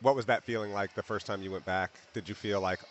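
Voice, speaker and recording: A male interviewer with a somewhat low voice asks questions in a loose, easygoing way. He keeps the guest going with quick "yeah"s and "right"s, over a faint background ambience. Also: fairly even intonation, highs cut off, a little hiss or hum. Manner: curious, easygoing, short affirmations. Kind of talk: casual interview conversation.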